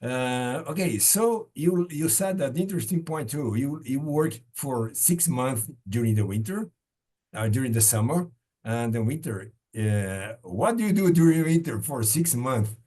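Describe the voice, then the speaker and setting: Halting man asking interview questions. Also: mid-range voice, animated, dark tone. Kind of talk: casual interview.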